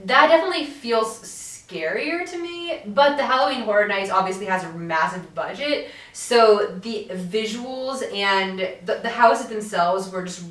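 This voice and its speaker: A young woman tells stories animatedly, leaning on filler words as she goes. Her voice is at a medium pitch. It comes from a roomy, echoing space, picked up from a distance. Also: measured pace, hardly any pauses. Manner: animated storytelling, many fillers. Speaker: podcast co-host. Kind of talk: casual chat. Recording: echoey room, voice off-mic.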